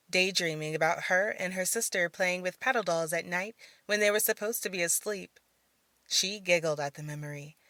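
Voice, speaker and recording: A woman storyteller reading scripted fiction narration in a measured, theatrical manner. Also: on the low side, a bit bright, no echo.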